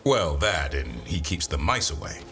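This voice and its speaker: A gruff, protective male warden from a video game. His voice sits very low but moves about with lively swings, blunt and fondly scolding. There is faint music behind him. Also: normal speed.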